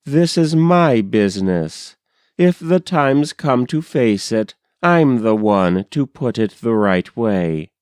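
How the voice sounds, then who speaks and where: A man acts out a frightened but defiant country woman in a recited poem. The delivery is urgent and pleading, clean and smooth, with slow, deliberate pacing and a very dry, close sound. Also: medium pitch, animated, darkish tone, dry recording. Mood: urgent, fearful. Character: frightened, headstrong rural wife, urgent and defiant. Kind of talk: dramatic poetry reading.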